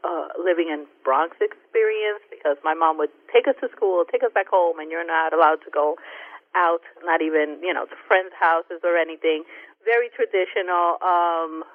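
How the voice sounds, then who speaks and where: A woman's voice: on the low side, dry recording. A woman from Rico, a guest on a radio show, speaking earnestly with frequent hesitations over the phone. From Puerto Rico. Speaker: guest, parent advocate. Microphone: telephone. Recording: narrowband telephone line, dry.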